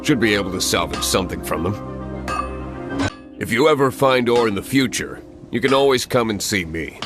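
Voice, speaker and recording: A man voices an impatient village blacksmith in a video game. His voice sits fairly low and he talks in curt, grumbling phrases. Music is clearly heard behind him. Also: animated, moderate pace, lightly acted. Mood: grudging.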